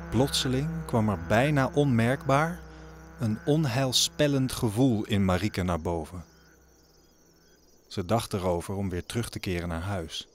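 A man narrating a scripted audio drama as a storyteller. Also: on the low side. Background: music under the voice.

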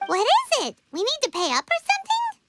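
A woman voicing a tiny, cheeky, excitable fairy girl companion in a video game, with a squeaky childlike voice. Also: brisk, heavy background noise, exaggerated voice. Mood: curious, cheeky. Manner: bubbly, speaks of self in third person. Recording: game music behind the voice.

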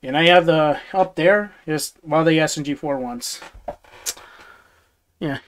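A young man talks to his livestream audience from a small dim bedroom, his voice sitting a little higher than most men's. He rambles, restarts sentences often and chuckles between thoughts. The sound comes through a close headset mic. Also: unhurried. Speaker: livestream host. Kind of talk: casual livestream chat reading viewer comments. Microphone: gaming headset.

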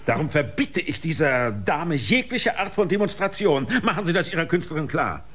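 An actor playing a stern, threatening spaceship commander in a sci-fi radio drama, husky and commanding. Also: male voice, fairly high pitch, very animated, moderate pace, a bit bright, exaggerated voice. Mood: cold, threatening. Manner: commanding, imperious.

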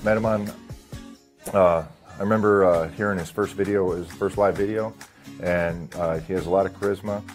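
Deep-voiced man, halting testimonial. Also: fairly even intonation, faint music behind. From Michigan. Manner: halting, many fillers. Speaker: student, border patrol agent. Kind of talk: testimonial interview.

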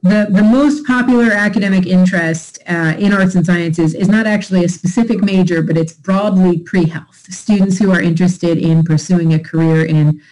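Low, mellow-voiced woman presenting on a video call. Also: fairly even intonation, moderate pace, hardly any pauses, poor audio. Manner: conversational, explanatory, with fillers. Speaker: college admissions presenter. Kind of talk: informational webinar presentation. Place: home room. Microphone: laptop webcam on a video call.

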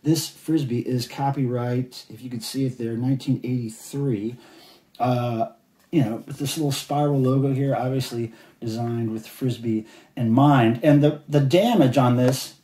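A man's voice: mid-range voice, dark tone. Chatty man in an echoey room. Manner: enthusiastic, chatty, rambling. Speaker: collector showing finds. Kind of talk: casual show-and-tell vlog. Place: indoors at a table of cassettes.

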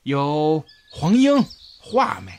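A deliberate shopkeeper in a radio comedy. He speaks very slowly in a slightly high, lively voice, with clear noise behind. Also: exaggerated voice. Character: slow, deliberate male shopkeeper. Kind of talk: comedic radio drama acting.

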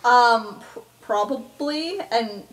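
Woman, tabletop player, fairly high pitch, casual narration. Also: animated, takes it slowly, poor audio.

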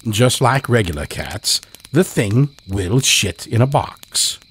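A man telling a scripted horror story as a podcast host, in a measured, dramatic way. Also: on the low side, husky.

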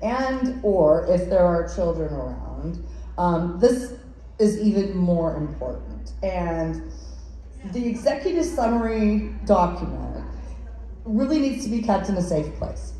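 A woman presents at a conference with a projecting but mellow voice, slightly low in pitch. She moves between scripted and spoken-off-the-cuff delivery. The large room echoes heavily around her. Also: expressive, takes it slowly. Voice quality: loud, projecting voice. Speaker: workshop presenter, attorney. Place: large indoor conference ballroom. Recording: very echoey room, some noise, distant.

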